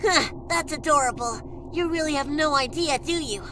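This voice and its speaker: A woman's voice: high-pitched, normal speed, background noise, natural voice. A woman voicing a scheming talking flower in a comic dub, smug, scornful and mock-sweet. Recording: music behind.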